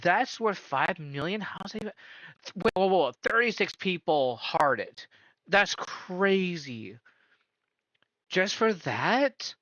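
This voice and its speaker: A man streaming a casual reaction, his voice bright and pushed forward. He keeps asking why in disbelief. The sound is dry and a bit dull, like a modest home setup. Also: high voice, expressive, dry recording. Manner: incredulous, asks rapid repeated questions.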